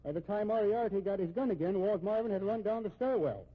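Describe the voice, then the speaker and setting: Policeman, folksy, talkative, high husky voice, old radio drama. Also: quick pace. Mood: matter-of-fact recounting. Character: chatty, unworried Irish-American male police officer. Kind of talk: 1950s radio drama acting. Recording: muffled, tinny old radio recording.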